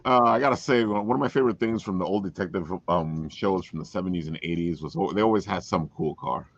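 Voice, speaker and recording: A man hosting a casual chat in a jokey, expressive way, with a husky voice. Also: deep voice, quick pace, no echo, highs cut off. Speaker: talk show host, writer.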